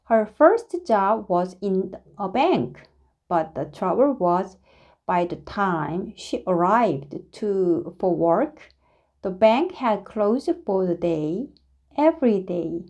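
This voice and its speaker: A woman reads a storybook aloud to young listeners. Her voice is soft-edged and mellow, with very animated pitch. She takes her time, shaping each phrase deliberately and dramatically. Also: highs cut off. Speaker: storybook reader. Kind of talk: expressive read-aloud of a children's story.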